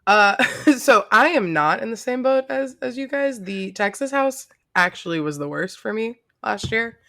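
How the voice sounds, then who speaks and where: Bright, creaky-voiced woman co-hosting a podcast. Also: fairly high pitch, animated, unhurried, dry recording. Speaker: podcast co-host. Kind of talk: casual podcast chat.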